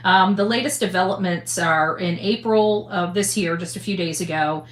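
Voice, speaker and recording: A woman giving casual news commentary to an audience in a halting, conversational way. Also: on the low side, reverberant. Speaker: online commentator.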